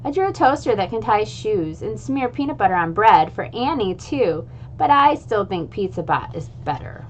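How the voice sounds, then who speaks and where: A woman reading a children's book aloud in an expressive, playful way, adding little sound effects. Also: medium pitch, moderate pace, slight background noise. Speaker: reader.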